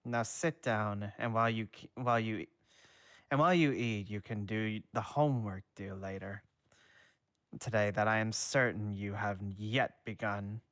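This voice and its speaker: A man voicing a calm, formal adult male schoolteacher who speaks in a measured, matter-of-fact way. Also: on the low side, no echo, clean studio sound, close to an everyday voice. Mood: dry.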